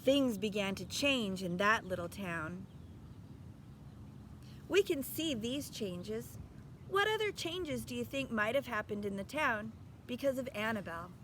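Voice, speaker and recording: A woman's voice: a little high, expressive, unhurried, fairly bright tone, slight background noise. A woman reading a storybook aloud expressively as a teacher, stopping to put instructive questions to young listeners.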